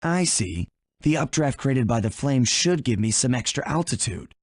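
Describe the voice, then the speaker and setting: A man's voice: medium pitch, sing-song, moderate pace, dry recording, clean studio sound. A man voicing a young, confident raccoon thief in a video game, with an easygoing, wry manner. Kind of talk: video game character voice acting.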